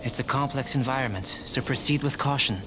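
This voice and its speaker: A man's voice: mid-range voice, natural voice. Man acting a composed, coolly curious young alchemist over music. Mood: calm, instructive. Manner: measured, detached, formal, precise. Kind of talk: scripted video game character dialogue. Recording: game music behind the voice. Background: clear background game music.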